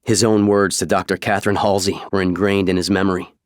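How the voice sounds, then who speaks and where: Male narrator, lowish pitch, scripted fiction reading, audiobook style, dry studio sound. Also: level tone, fast, dry recording.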